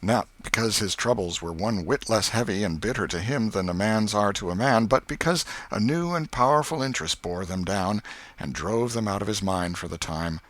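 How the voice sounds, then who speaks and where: A man reading literary narration as an audiobook narrator, in an expressive, measured storytelling manner. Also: on the low side, no room sound.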